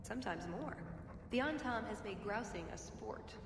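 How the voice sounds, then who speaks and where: A woman's voice: mid-range voice, expressive, normal speed, natural voice. An actress playing a cheeky, self-assured female spy with a breezy, wry manner in a video game. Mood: wry banter. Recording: reverberant hall, noisy ambience behind.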